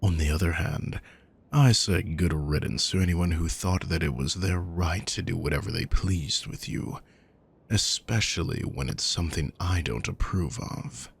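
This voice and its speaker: Man playing a deep, gravelly, possessive villain. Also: normal speed, full character voice. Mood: coldly sardonic. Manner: smooth-worded, condescending, darkly sardonic. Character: possessive, menacing aristocratic male villain, master of an estate. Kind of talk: scripted roleplay audio, spoken intimately to the listener.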